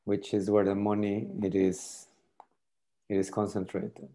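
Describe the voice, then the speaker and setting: Middle-aged French-native man on a video call. Lowish, mellow voice, halting delivery with repeated words. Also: completely flat delivery. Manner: hesitant, repeats words while thinking. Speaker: tool demonstrator. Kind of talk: casual video call demo.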